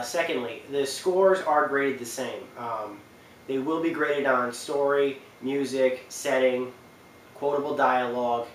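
A young man talks off the cuff in a roomy space, some distance from the mic. His delivery is casual and halting, like a host presenting a list from his couch. There is a faint noise in the recording. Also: mid-range voice. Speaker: video host presenting a ranking. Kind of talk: casual unscripted talk to camera. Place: small indoor living room on a couch.

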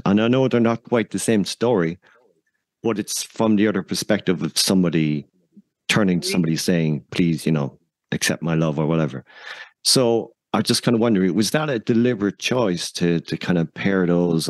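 A man's voice: sits low, no room sound. A man conversationally interviewing a guest as a podcast host.

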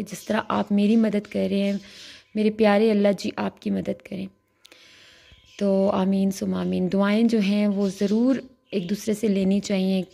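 A young woman talks chattily to her viewers as friends, narrating her day at home into a phone. Her voice is mellow, with a gravelly, husky edge from a sore throat. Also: mid-range voice. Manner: chatty, warm-hearted, addressing viewers as friends. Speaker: vlogger. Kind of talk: casual home vlog voice-over. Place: home, indoors.

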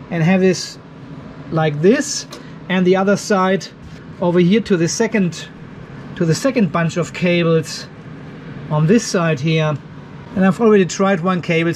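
A man in his fifties with a fairly high voice talks through a DIY job in his garage workshop. He is informal and thinks aloud as he goes. There is some noise in the background. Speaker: DIY video host. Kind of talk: hands-on workshop narration, casual. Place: garage workshop with metal roof.

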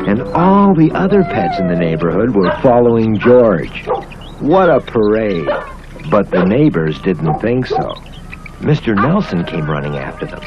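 Male American children's narrator, theatrical delivery with very wide pitch swings, dull vintage cartoon sound. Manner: theatrical, expressive storytelling. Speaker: storybook narrator. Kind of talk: scripted children's story narration. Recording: muffled old cartoon soundtrack with music.

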